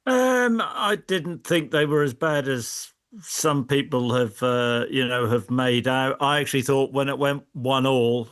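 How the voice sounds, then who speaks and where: A middle-aged man analysing football in a panel chat. His pitch is average for a man. He speaks in a halting, repetitive way as he works towards each opinion. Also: a bit bright, clean tone. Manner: halting, repeats words and phrases. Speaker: football pundit.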